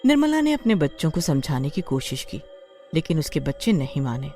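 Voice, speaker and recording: Female narrator for horror tales, mellow and animated, music underneath. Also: deep voice. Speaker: story narrator. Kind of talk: scripted Hindi horror storytelling.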